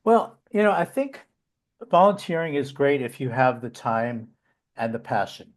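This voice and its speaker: A man's voice: mid-range voice, very animated, moderate pace. New guy chatting as a guest, kinda rambly, lots of ums and restarts. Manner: rambling, with many fillers and restarts. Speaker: volunteer guest. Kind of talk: casual interview conversation.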